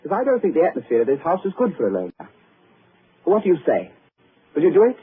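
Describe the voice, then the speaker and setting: An actor playing a brash, skeptical and stubbornly brave young lord in an old-time radio drama. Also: male voice, on the high side, huge pitch swings, quick pace, slightly rough, full character voice. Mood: indignant, defiant. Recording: muffled, faintly noisy vintage radio recording.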